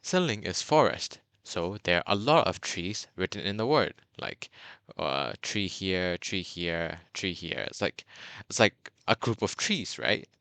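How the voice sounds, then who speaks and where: A young man from Burma talks through a beginner's lesson in a low, bright and crisp voice, dotted with fillers and restarts. The sound is dry and close, with no room around it. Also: animated, unhurried, no room sound. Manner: chatty, many fillers and restarts. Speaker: language tutor. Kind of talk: casual video lesson.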